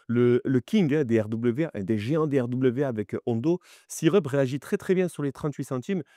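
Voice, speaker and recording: A man's voice: mid-range voice, fairly quick, no room sound. Man, crypto vlog host, chatty and pushy, slightly stuffy, dry close mic. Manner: chatty and animated, many fillers, urges viewers directly. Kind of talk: crypto market analysis vlog.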